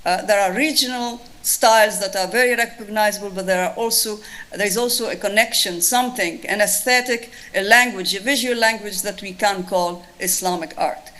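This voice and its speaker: A woman's voice: medium pitch, moderate pace, a bit bright. A woman with a Tunisian accent, a lecturer answering an audience question thoughtfully, with frequent restarts. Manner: thoughtful, restarts often, many fillers. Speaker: lecturer on Islamic art.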